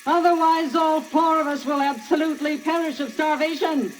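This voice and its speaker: An actress playing a selfish, scheming woodcutter's wife in a theatrical, scornful manner on an old children's story record. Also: female voice, sits high, normal speed, a little hiss or hum, natural voice, rough recording. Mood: insistent, hypnotic.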